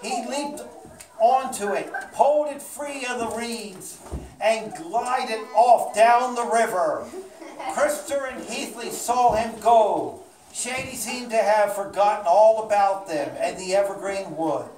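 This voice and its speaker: A woman's voice: a little high, slow pace. A woman narrating a children's storybook for a live puppet show. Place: indoor church or hall room with puppet stage. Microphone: camera at a distance. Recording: roomy, distant mic, clear background noise.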